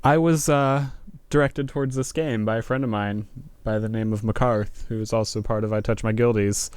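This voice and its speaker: A man's voice: fairly low pitch, fairly even intonation, normal speed, darkish tone, clear, resonant tone, no room sound. A man hosting a gaming video, reading narration aloud and commenting in a casual, jokey, informal way.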